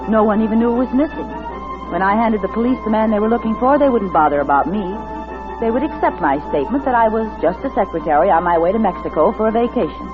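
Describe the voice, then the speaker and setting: A woman's voice: mid-range voice, lively intonation, fast talker, natural voice. A woman acting a tough, wary secretary on the run in an old radio thriller, clipped and determined. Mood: tense recounting. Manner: hard-boiled, clipped, determined. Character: tough, wary young secretary turned gun-toting fugitive, narrating. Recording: muffled vintage radio recording with music.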